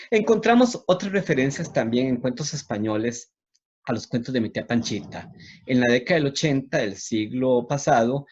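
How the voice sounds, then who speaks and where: A man's voice: mid-range voice, a little mellow, husky, lo-fi sound. A Costa Rican man with a didactic manner, speaking as a university lecturer presenting on literature. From Costa Rica.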